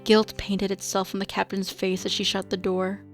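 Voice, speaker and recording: A woman's voice: medium pitch, level tone, normal speed, soft background music. A woman reading scripted story narration as the narrator of a radio drama.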